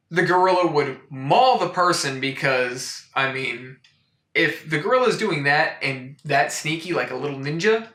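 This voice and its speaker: A man hosting a comic storytime in a mock-serious, deadpan way, rambling into incredulous asides. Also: a little high, reverberant. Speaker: host of a comic storytime show. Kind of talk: deadpan comedic picture book review.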